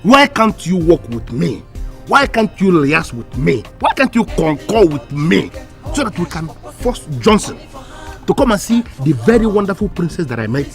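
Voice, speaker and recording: A man's voice: sits high, big pitch swings, moderate pace, faint music behind. An actor playing a pompous, fussy, indignant man in a comedic drama, with a Nigerian accent and a wordy, repetitive way of arguing. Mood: exasperated, pleading. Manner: pompous, wordy, repeats himself with synonyms. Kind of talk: comedic film drama acting.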